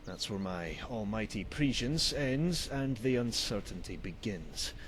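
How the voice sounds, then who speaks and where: An actor playing a wry, cynical traveling merchant in a video game, with a theatrical, sardonic manner and old-fashioned phrasing. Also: male voice, lowish voice, animated, unhurried, fairly bright tone, a little hiss or hum. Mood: sardonic, teasing. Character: wry, cynical male traveling merchant, grim about bandits. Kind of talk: voiced video game dialogue.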